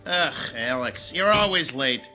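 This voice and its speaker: A very forceful, tense-voiced editor in a radio drama. He is sardonic and impatient, pitched a little high and lively, with faint music underneath. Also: unhurried, heavily acted. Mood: brisk, sardonic. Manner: gruff, sardonic, bossy. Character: brusque, impatient male newspaper editor giving assignments.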